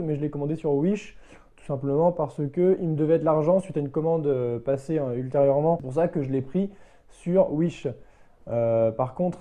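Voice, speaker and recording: A man giving a casual, unscripted product review as a motorbike vlogger, in a hesitant, halting way that circles back to rephrase. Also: a little high, warm tone.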